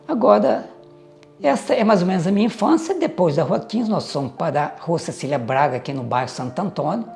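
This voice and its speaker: An older man, recalling his family history in a measured, reflective way with pauses and hesitant fillers. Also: fairly high pitch, vocal fry, a little mellow. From Minas Gerais, Brazil. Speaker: interviewee recounting family history. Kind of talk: reminiscing oral history.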